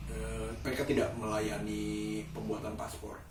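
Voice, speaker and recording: Slow-talking man. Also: fairly low pitch, monotone, noisy background. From Indonesia. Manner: halting and deliberate, with drawn-out pauses. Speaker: daily vlogger and host. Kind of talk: casual solo vlog talk to camera. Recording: roomy echo, distant microphone.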